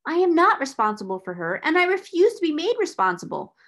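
A woman's voice: mid-range voice, expressive, normal speed, close to an everyday voice. Woman putting on a furious, imperious schoolmistress. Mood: outraged. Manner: fierce, biting, imperious. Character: furious, cold, domineering older schoolmistress.

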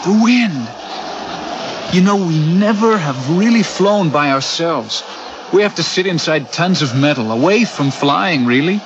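A man's voice: a little high, animated, a little slow, noisy background. An actor playing an enthusiastic, dreamy young-at-heart space crewman, full of wonder, in a radio drama. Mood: dreamy, wistful. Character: boyish, dreamy, exuberant male space crewman. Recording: dull radio drama recording with music.

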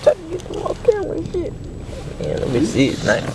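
A man's voice: huge pitch swings, fast. A young American man bantering casually with friends, full of slang, swearing and joking. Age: young adult. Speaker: prank video maker. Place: inside a car at a drive-thru. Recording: noisy car interior, vehicle rumble.

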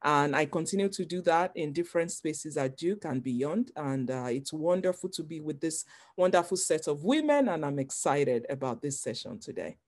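A woman with a Nigerian accent introducing herself on a webinar panel, speaking in a measured, reflective way with fillers, over a video call. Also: sits low, lively intonation, a little mellow. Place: home or office on a video call.

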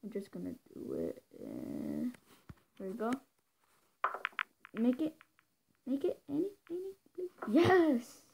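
A woman chatting casually while playing a pool game, excitable and quick to squeal and cheer at shots. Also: a little high, vocal fry, a little hiss or hum. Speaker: gameplay co-player. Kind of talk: casual chat over a game.

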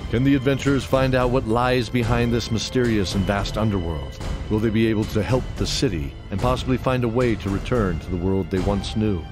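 Guy with lowish voice doing podcast drama narrator promo reads, music underneath. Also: brisk, hardly any pauses. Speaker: narrator and show announcer. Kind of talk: scripted announcement, sponsor read.

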